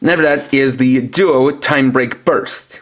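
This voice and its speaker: A man's voice: medium pitch, measured pace, noisy background. A man giving a step-by-step, explanatory product demonstration as a toy reviewer.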